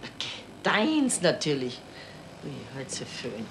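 An actress playing a brisk, bossy wife and mother with a Bavarian accent in a radio crime play. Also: sits low, huge pitch swings, full character voice. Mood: sleepy, teasing. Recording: 1970s radio play, faint noise.

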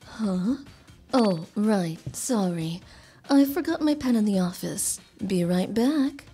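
A woman playing a polite, soft-spoken and hesitant lodge keeper in her early thirties in a manga dub. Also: medium pitch, animated, takes it slowly, soft background music.

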